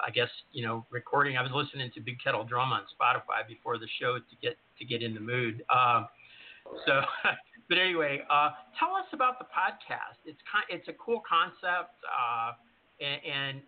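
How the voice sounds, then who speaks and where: A man hosting a live show from his home, talking easily and casually, with quick apologetic asides. His voice comes through a thin, phone-like line with a faint hiss underneath. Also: mid-range voice. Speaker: podcast co-host. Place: home office with yard work outside. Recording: tinny call-in audio with faint hiss.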